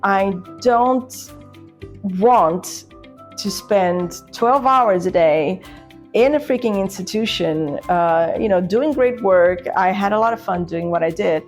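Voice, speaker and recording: A woman's voice: mid-range voice, slow pace, faint music behind. A woman in her forties from Portugal, speaking fluent English as a second language and telling a personal story candidly, acting out remembered conversations. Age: 40s. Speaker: business owner telling their career story.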